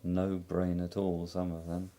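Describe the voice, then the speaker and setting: A man voicing a gloomy, melancholy old male donkey who grumbles slowly and mournfully. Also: medium pitch, animated, warm tone, voice pushed up. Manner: slow, mournful, self-pitying.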